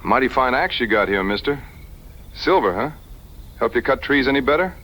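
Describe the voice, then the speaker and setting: An actor playing a steady, plain-spoken frontier hunter in an audio western, with measured folksy phrasing. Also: male voice, medium pitch, rough recording. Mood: curious. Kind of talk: dramatized audio western dialogue.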